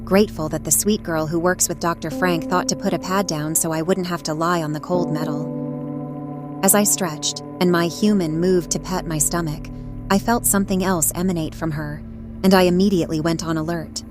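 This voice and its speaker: A woman voicing a devoted, perceptive pet cat, telling a story in a measured, earnest way. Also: lowish voice, steady tone, lightly acted. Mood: calm, attentive. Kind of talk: first-person dramatic story narration. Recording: narration over prominent music.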